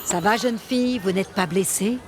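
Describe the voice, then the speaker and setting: A woman's voice: medium pitch, moderate pace, very noisy, natural voice. A woman playing a cunning, menacing old sorceress in a French cartoon, taunting and curt. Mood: slyly inviting. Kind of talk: French animated series voice acting. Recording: loud music behind.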